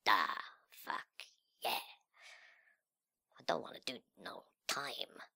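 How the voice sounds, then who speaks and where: A man performing a dramatic monologue as a sullen, cocky, nihilistic young slacker, with breathy, slangy, sneering delivery that swings between muttering and outbursts. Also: lowish voice, very animated, slow, bright, forceful tone, whispered, exaggerated voice. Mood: cool, scheming. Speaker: reader of a literary monologue. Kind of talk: dramatic first-person monologue in slangy speech.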